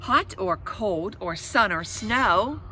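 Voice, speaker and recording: A woman's voice: medium pitch, expressive, slow, pushed and bright, slight background noise. A woman voicing a defiant, scheming young girl in a children's storybook, dramatic and insolent. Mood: sly chanting.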